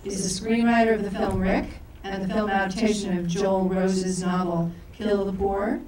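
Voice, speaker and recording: A woman's voice: medium pitch, flat delivery, normal speed, darkish tone, a little hiss or hum. A woman co-director opening a live event, reading speaker introductions with stumbles and self-corrections. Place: large echoey event hall. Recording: echoey hall from far off.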